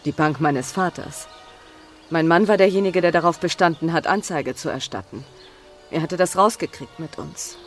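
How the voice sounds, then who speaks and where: A woman's voice: low-pitched, normal speed, soft background music, lightly acted. An actress playing a genteel married woman under suspicion, airy, rueful and evasive, in a radio crime drama.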